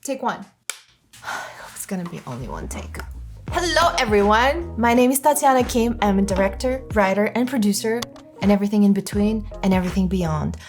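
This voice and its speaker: A woman's voice: mid-range voice, sing-song, brisk, soft background music. Kazakh-accented woman telling her story. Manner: earnest, reflective, lists in short phrases. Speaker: filmmaker vlogger. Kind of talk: personal storytelling to camera.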